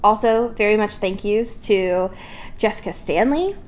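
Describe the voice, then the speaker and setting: A woman's voice: mid-range voice, a little slow, slight background noise. A young woman co-hosting a casual, comedic fan podcast in a playful, informal, giggly way, swearing freely. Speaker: podcast co-host.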